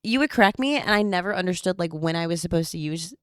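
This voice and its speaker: A woman's voice: on the low side, lively intonation, fast, smooth, no echo, studio quality. A woman co-hosting a casual podcast, her voice still recovering after she lost it, giggly and agreeable. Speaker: podcast co-host. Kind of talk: casual chat.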